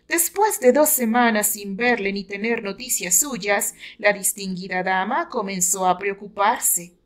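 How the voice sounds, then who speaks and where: A woman with a Mexican accent reading her own novel aloud as scripted literary narration. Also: fairly high pitch.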